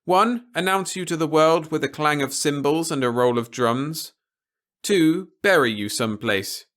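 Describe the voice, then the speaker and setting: Guy doing a stern official character for an audiobook, formal and emphatic, raised pitch, very deliberate wording. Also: a bit bright. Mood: blunt, explanatory. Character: stern, articulate male official lecturing a stubborn man.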